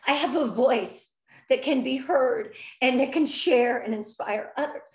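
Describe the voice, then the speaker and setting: A woman in her fifties talks with effusive, earnest warmth and a restless nervous energy, her voice sitting a little high. She is heard over a video call, and the room around her gives it a noticeable echo. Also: a little slow. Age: 50s. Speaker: interview guest. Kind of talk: emotional heartfelt interview.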